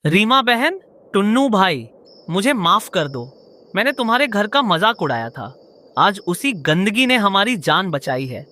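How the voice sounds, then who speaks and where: A woman's voice: mid-range voice, big pitch swings, a little slow, sharp tone. A woman playing a lazy, wicked male crow husband in a cartoon, with dramatic delivery. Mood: remorseful.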